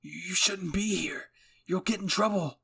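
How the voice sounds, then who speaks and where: A man voicing a gentle, lonely teenage boy, soft-spoken and hesitant, in an anime roleplay reading. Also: high-pitched, measured pace, vocal fry, breathy edge, voice pushed up, exaggerated voice. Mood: hushed, sleepy. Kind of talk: anime fan-fiction ASMR roleplay.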